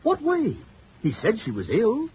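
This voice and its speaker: Flustered high-voiced broker. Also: male voice, wildly swooping pitch, a little slow, full character voice. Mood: bewildered. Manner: hesitant, questioning, flustered. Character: nervous, bewildered, mild insurance broker man. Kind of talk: 1950s radio drama acting. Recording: muffled, noisy vintage radio recording.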